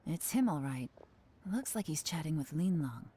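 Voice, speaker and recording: A woman playing a calm, discreet, commanding investigator in a video game, speaking in a composed, measured way. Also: sits low, slight background noise. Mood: cautious, composed. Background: game music.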